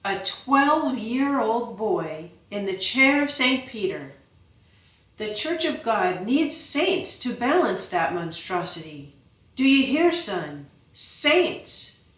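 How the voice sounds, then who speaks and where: A woman's voice: a little high, sing-song, takes it slowly, fairly bright tone, recorded from a distance, voice pushed up, heavily acted. Woman voicing a giant medieval lord, storybook, stern father, fierce commands, echoey, off-mic. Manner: solemn, fierce commanding exhortation.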